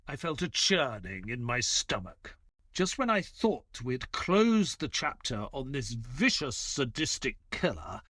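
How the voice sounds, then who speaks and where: Man playing earnest, lively detective's sidekick. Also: medium pitch, dry recording, clean studio sound. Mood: troubled. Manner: measured storytelling, hesitant and flustered in dialogue. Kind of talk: audio play acting with scripted first-person narration.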